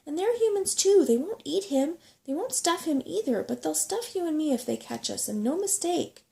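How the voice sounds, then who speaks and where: A woman's voice: high-pitched, animated, moderate pace, gravelly, dark tone, voice pushed up. A woman voicing a small, bossy, grumbling female brownie with an urgent, scolding manner in a storybook.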